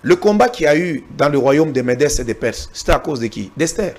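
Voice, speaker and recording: Male guest from Congo, emphatic debater with a husky, animated voice. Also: a little high, a little fast. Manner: emphatic, repeats words when pressed, cites the Bible. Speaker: interview guest. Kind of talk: heated political debate.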